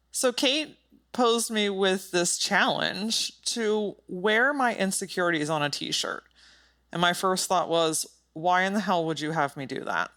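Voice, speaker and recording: A woman's voice: lowish voice, a bit bright. A woman hosting a solo motivational podcast, speaking in an emphatic, direct and informal way with some casual swearing. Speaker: podcast host.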